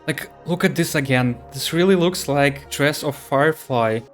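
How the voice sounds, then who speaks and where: A man's voice: a little high, moderate pace. A man streaming a video game, reacting casually to its story in short, informal remarks. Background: game music.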